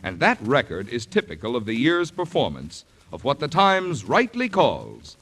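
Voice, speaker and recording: A man's voice: medium pitch, huge pitch swings, moderate pace, fairly bright tone. A man reading a scripted message as a formal, declamatory 1940s radio announcer.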